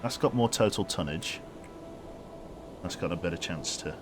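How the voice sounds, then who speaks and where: A very deep-voiced man talks calmly through a submarine game, issuing orders as he goes. Game music plays clearly beneath his voice. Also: normal speed. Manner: calm, giving orders aloud. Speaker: game streamer. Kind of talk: gameplay commentary. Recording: game music loud behind.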